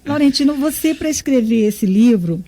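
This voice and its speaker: A woman's voice: on the high side, expressive, normal speed, warm tone. A woman interviewing an author on the radio, asking admiring questions with frequent tag questions.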